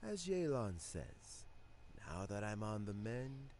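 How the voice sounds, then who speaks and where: Deep, expressive elderly mentor. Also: male voice, a little hiss or hum. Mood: calm, warm. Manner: measured, sage, formal counsel. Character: wise elderly male official, calm and fatherly mentor. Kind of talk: video game dialogue acting.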